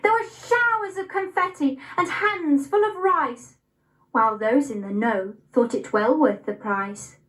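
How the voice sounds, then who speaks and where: High-pitched young Englishwoman reciting verse slowly. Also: a bit bright, poor audio. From England. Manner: measured, deliberate, rhythmic delivery. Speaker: poetry reader. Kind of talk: recited poetry reading.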